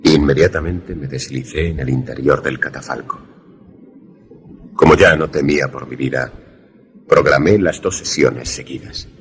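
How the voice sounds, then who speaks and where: An actor playing a wary, grave protagonist who narrates a radio suspense drama formally. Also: male voice, very deep voice, normal speed, gravelly. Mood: solemn storytelling. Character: wary male narrator-protagonist, secret lover in danger.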